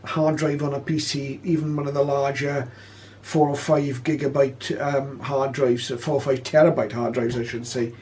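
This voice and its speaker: A man presenting an informal spoken tutorial, explaining step by step with hesitant pauses. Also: medium pitch, little pitch movement, darkish tone, a little hiss or hum. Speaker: presenter of a shortwave radio tutorial.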